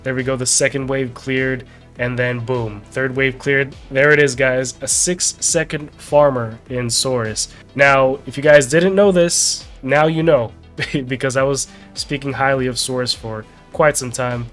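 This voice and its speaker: A man's voice: medium pitch, steady tone, measured pace, fairly bright tone. A man in his thirties, slightly nasal as he gets over a sickness, giving a casual game walkthrough. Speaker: game guide video host. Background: background music.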